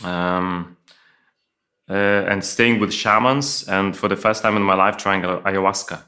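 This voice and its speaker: A middle-aged man from Lithuania, telling his life story as an interview guest with many pauses, fillers and restarts. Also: deep voice, steady tone, bright, forceful tone. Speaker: guest sharing testimony. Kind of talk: personal testimony in an interview.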